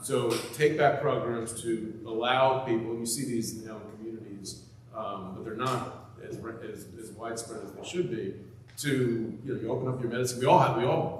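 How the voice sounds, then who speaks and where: A man speaking on a panel, with a loud voice, a casual manner and self-deprecating asides. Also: medium pitch, slight background noise. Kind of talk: conversational panel talk. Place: event hall at a hospital. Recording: echoey room, far from mic.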